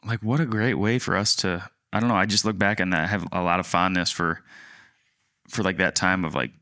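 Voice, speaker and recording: Guy around thirty chatting on a podcast, low bright clear voice, rambles and restarts. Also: fairly even intonation, a little fast, dry recording, studio quality. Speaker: podcast co-host, land agent. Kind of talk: casual storytelling chat.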